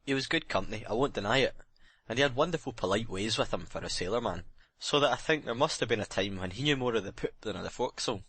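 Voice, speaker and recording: Man acting a husky, bitter, broken sailor. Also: on the low side, expressive, quick pace, a bit bright, dry recording, exaggerated voice. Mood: bitter, brooding. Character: bitter, broken sailor confessing, jealous and remorseful. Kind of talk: dramatic audiobook reading.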